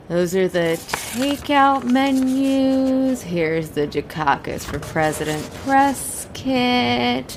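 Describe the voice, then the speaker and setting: A woman's voice: a little high, noisy background, heavily acted. A woman acting as a chirpy, exaggeratedly polite airport information clerk in a comic audio drama. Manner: exaggeratedly polite, sing-song service voice.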